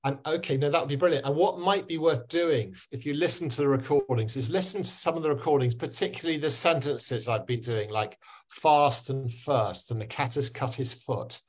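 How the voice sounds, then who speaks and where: A man's voice: medium pitch, brisk, husky. A man teaching pronunciation on a video call, full of fillers and restarts. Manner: hesitant, many fillers and restarts. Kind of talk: online class.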